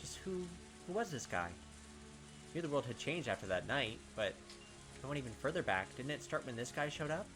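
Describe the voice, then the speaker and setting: A man reading a visual novel aloud as a gaming host, with dramatic, expressive storytelling and pauses. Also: medium pitch, music in the background.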